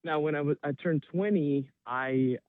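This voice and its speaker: A man's voice: fairly high pitch, a little slow, no room sound. A man from Romania, a native Romanian speaker, tells his story informally and enthusiastically as an interview guest. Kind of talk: animated personal storytelling in an interview. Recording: thin phone-like remote call sound.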